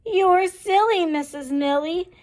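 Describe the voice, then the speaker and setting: Lady doing a bunch of little kids, squeaky high, dragging out the words, giggly. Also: a little mellow. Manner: high, drawn-out chanting. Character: class of young children, giggly and correcting.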